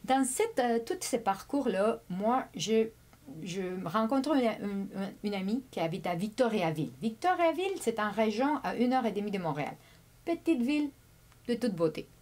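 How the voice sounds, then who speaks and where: A woman whose first language is Spanish, a guest chatting casually in an interview, often pausing, repeating words and laughing. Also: a little high, very animated, a little mellow. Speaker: immigrant guest.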